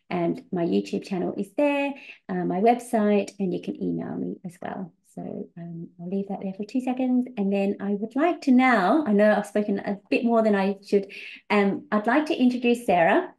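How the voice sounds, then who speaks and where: A woman's voice: medium pitch, gravelly, darkish tone. A woman in her forties, a visa coach, presenting a webinar over a video call, earnest with many fillers.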